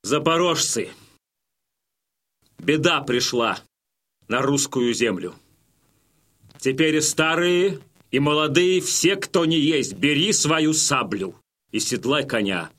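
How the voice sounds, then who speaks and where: A stern Cossack war leader in an audio drama. His slightly high voice is declamatory and commanding, built for rallying fighters. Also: lively intonation, takes it slowly, pauses often, fairly bright tone, heavily acted. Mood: rousing. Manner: declamatory, oratorical.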